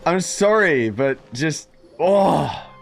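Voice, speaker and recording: Impatient streamer, expressive mid-pitched voice, dry sarcasm, off-the-cuff commentary. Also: extremely slow, vocal fry. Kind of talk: casual reaction commentary over game. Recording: game music behind the voice.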